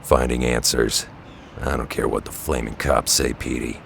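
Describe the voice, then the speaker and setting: A man playing a gruff, cynical middle-aged mutant brawler in an audio drama, blunt and growling. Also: extremely low pitch, level tone, moderate pace, a bit bright, slight background noise, full character voice. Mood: grim, gruff. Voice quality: gravelly. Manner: blunt, growling, street-tough.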